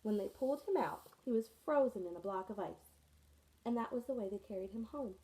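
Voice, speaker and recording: A woman reads a children's picture book aloud to her students, and her mellow, soft-edged voice carries the story along. She storytells expressively and stops for friendly asides to her young listeners. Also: mid-range voice, fairly quick, rough recording. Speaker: teacher reading to her students.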